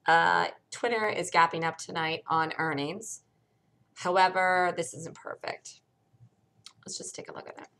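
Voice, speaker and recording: A woman with a hoarse voice from a cold, bluntly walking through a stock chart in a casual screen-share lesson. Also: lowish voice, steady tone, unhurried, a bit bright. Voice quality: gravelly. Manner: blunt, conversational, thinks aloud while pointing at charts. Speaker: stock trading educator.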